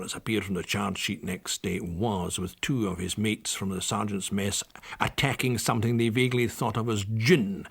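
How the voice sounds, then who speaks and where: An actor playing a dry, sardonic army officer narrating a radio drama with wordy irony. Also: male voice, lowish voice, vocal fry, a bit bright, husky, heavily acted.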